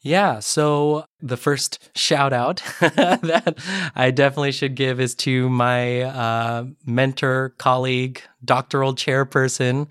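Man, middle-aged guest, earnest, storytelling, restarts sentences, medium pitch, dry close sound. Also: fairly even intonation, slow pace, fairly bright tone, clean tone, no echo. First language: Korean. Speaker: podcast guest, education advisor. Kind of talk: conversational interview.

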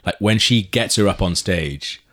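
A young man talks through a TV episode in a loose, sweary chat. His voice sits on the lower side, and he laughs often as he acts out the scenes. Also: a little slow. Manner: chatty, sweary, laughs often, animated retellings. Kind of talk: casual chat reviewing a TV episode.

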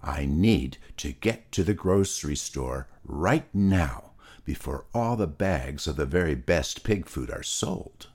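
A man's voice: rumbling low, animated, slow pace, hardly any pauses, a little mellow, slightly rough, exaggerated voice. A man voicing a reckless young male pig who whines and pleads in a raised voice. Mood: hurried. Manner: whiny, pleading.

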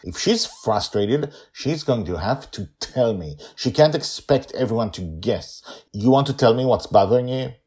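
A man's voice: medium pitch, animated, moderate pace, few pauses, darkish tone, husky, voice pushed up. A man voicing a stern, exasperated father in a story, firm, scolding and emphatic. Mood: testy, firm.

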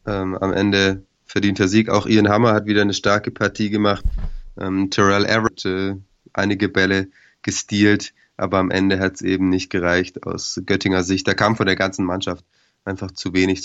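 A man with a cold, hoarse, hosting a casual sports podcast and hesitating with many filler sounds. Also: sits low, a bit bright, muffled recording. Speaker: podcast co-host.

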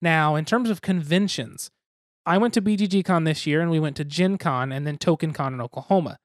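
A young man with a fairly high voice co-hosts a casual podcast, rambling along and joking at his own expense. The sound is dry and close, with no room around it. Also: fairly quick, no room sound. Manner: rambling, self-deprecating, jokey. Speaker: podcast co-host.

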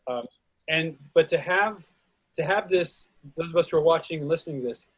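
A man with a slightly high, husky voice joins an arts discussion. He talks casually but emphatically, restarting phrases as he builds his point. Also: brisk.

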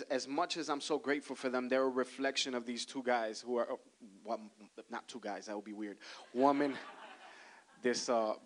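A male guest pastor, talkative and joking, opening his sermon to the congregation. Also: mid-range voice. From Cuban heritage. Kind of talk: sermon opening, casual and chatty.

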